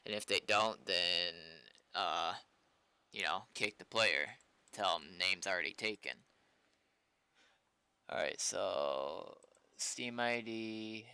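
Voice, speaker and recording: American male streamer, bright and forward voice on the low side, informal, thinking out loud on a close mic. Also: takes it slowly, long pauses, smooth, no echo. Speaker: game developer livestreaming. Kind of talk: casual livestream chat, thinking aloud while coding.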